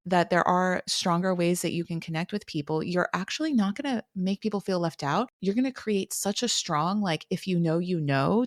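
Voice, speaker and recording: A woman co-hosting a podcast, talking in a candid, energetic way that includes casual swearing. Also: fairly low pitch, no echo, clean studio sound. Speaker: podcast co-host.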